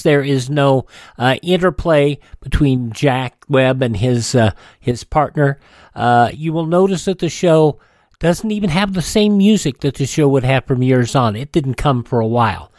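A man's voice: on the high side, darkish tone, no echo. Dry-sounding male classic radio host. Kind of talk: informal introduction to a classic radio show.

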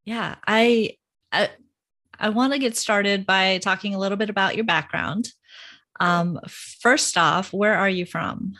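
A woman's voice: medium pitch, unhurried, sharp tone. A woman hosting a podcast, reading an upbeat intro and asking friendly interview questions. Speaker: podcast host.

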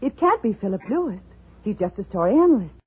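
A woman's voice: mid-range voice, big pitch swings, quick pace, husky. An actress playing a brittle, intense woman scriptwriter unsettled after illness, in a 1950s radio drama. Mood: overwrought, eager. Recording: muffled, lo-fi old radio recording.

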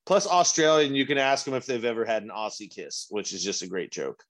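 A man's voice: medium pitch, a little fast, a bit bright. A man, co-hosting a podcast with crude wisecracks. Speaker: podcast co-host. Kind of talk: casual chat.

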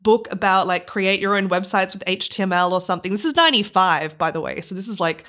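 An Australian woman in her thirties chats as a podcast guest. She tells her stories with plenty of laughter and animation, at a medium, everyday pitch. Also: quick pace. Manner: animated storyteller, laughs often, acts out voices. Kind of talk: casual interview chat.

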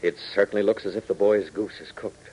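A man's voice: fairly low pitch, steady tone, brisk, dark tone, husky, rough recording. An American man narrating emphatically and dramatically as an announcer in 1940s radio style. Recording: old 1940s radio broadcast recording.

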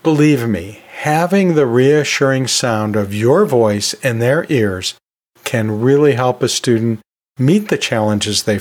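Guy doing a podcast with teaching tips, mid pitch, really animated melody, encouraging and a bit jokey. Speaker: podcast host and college teacher. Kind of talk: conversational solo podcast advice.

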